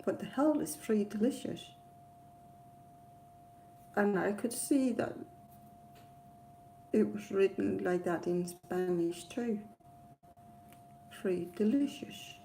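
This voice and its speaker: A woman with a Danish accent, a native Danish speaker, telling a personal travel story casually in a hesitant, rambling way with frequent pauses. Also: medium pitch, creaky, mellow, slight background noise. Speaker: travel vlogger.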